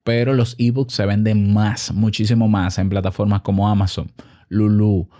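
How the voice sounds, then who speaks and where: A man's voice: fairly low pitch, flat delivery, normal speed, mellow. A man with a Dominican accent giving an informal explainer as an online host, teaching by asking questions and answering them himself. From Dominican Republic. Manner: didactic, asks and answers own questions. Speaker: online business content creator and host.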